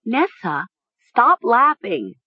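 A woman reader plays a shocked young woman close to tears. Her words come slowly, choked and faltering, with a husky edge. It sounds like a dramatic audiobook, recorded dry. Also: a little high, big pitch swings, dry recording, highs cut off, voice pushed up, full character voice. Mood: alarmed. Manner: stammering, choked, whimpering. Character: shocked, grieving young woman, faint and tearful. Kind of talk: audiobook character acting.

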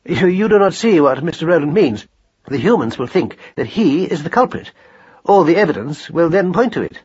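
A man's voice: on the high side, sing-song, moderate pace, a little mellow, slightly rough, lo-fi sound, pitched above the performer's own voice. A man voicing a controlled, henpecked father mouse who speaks in a formal, wordy, precise way. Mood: explaining.

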